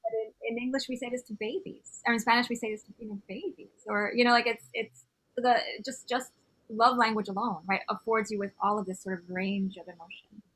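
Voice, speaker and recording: A woman's voice: fairly high pitch, steady tone, a little fast, fairly bright tone, husky, rough recording. A chatty woman from Miami talks on a video call, her speech loose and filler-heavy. She drifts in and out of Spanish words mid-sentence as she explains things. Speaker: author guest. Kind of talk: casual conversation on a video call.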